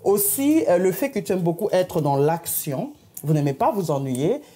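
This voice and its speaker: A woman's voice: on the low side, moderate pace. A woman hosting a community TV interview, giving encouraging astrology readings to her guests. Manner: warm, encouraging, animated. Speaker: host.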